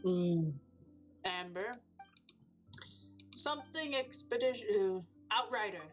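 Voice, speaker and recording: Slow-talking woman streamer rambling over game music. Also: mid-range voice, expressive. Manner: rambling running commentary, jokey asides to chat. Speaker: streamer playing a video game. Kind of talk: casual live-stream chatter. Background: faint game music.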